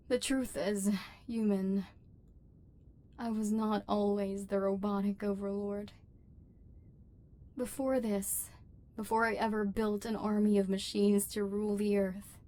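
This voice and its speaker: A woman's voice: medium pitch, dark tone, lightly acted. A woman voicing an embittered, lonely robot overlord in an ASMR roleplay, with formal, precise diction and hurt tenderness underneath. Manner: formal, precise, stilted diction with wounded feeling. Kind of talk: scripted ASMR roleplay acting.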